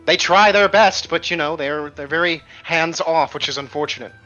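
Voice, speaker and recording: Bright-voiced male game master, slightly lo-fi. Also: on the high side, normal speed. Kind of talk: casual explaining of game world lore. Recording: slightly dull lo-fi audio over faint music. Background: faint music.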